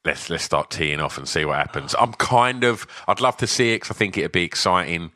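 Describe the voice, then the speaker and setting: Deep, dry-sounding man. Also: expressive, a little fast, bright, forceful tone, no room sound. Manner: hesitant, repeats words, casual swearing. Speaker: podcast co-host. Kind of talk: casual chat about fights.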